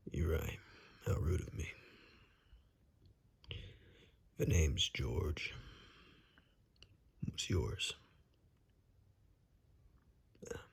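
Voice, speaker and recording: A man playing a calm, wry forest ranger in a hushed ASMR roleplay, speaking slowly in a breathy, gravelly whisper with dry understatement. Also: extremely low pitch, full character voice. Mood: polite, hushed. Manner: slow, hushed, dryly understated.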